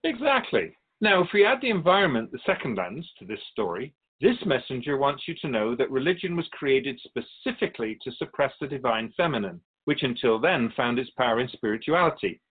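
A man playing a wise spirit who keeps souls in a radio drama, teaching in measured explanations with pointed asides. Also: mid-range voice, breathy edge, narrow, tinny audio. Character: ancient male spirit Keeper of Souls, wise storytelling teacher. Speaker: interviewed guest. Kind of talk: scripted radio drama dialogue.

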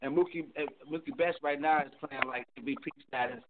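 A man's voice: on the high side, steady tone, fairly quick. A man on a sports talk panel giving a loose, rambling take full of restarts. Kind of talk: sports analysis. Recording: noisy phone-line audio.

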